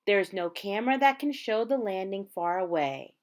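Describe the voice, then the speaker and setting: A woman reading a children's picture book aloud, with measured, dramatic phrasing and drawn-out words. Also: mid-range voice, expressive. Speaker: storybook reader.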